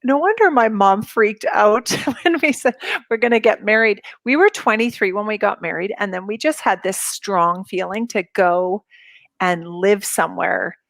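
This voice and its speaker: A woman's voice: medium pitch, moderate pace, gravelly, no room sound. Canadian lady in her 40s, chatty guest, laughs a lot, dry close sound. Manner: chatty, laughs while telling stories. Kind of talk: casual interview chat.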